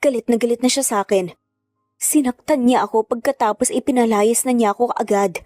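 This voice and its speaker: A woman's voice: a little high, a little fast, darkish tone, no room sound, soft background music, natural voice. A woman acting as a proud, hurt mother in a narrated family drama, emotional and bitter. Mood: angry, tearful. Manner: tearful, bitter, defiant.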